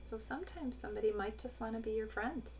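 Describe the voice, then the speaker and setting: A woman in her forties reading a picture book aloud to young children, pausing to explain in an instructive way. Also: fairly high pitch, a little mellow. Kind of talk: storybook read-aloud with explanatory asides. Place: small room at home. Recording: noisy home webcam recording.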